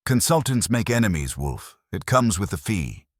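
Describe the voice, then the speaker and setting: A man's voice: lowish voice, big pitch swings, normal speed, no echo, clean studio sound, pitched above the performer's own voice. A man voicing a cornered, evasive corporate consultant villain in an audiobook, defiant and dismissive.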